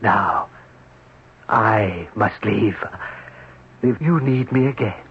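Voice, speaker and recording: An actor playing a polite, sly demon posing as a servant, with husky, formal, clipped phrasing in an old radio drama. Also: male voice, fairly low pitch, very slow, heavily acted. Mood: politely sly. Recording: dull lo-fi old radio recording.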